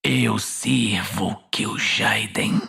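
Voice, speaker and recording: Male, Brazilian, acting scheming orc warlock in fantasy audio series; husky, resentful, proud, muttering. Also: fairly low pitch, extremely slow, bright, forceful tone, big-room sound, pitched below the performer's own voice, exaggerated voice. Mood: reluctant obedience. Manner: seething, muttering defiance.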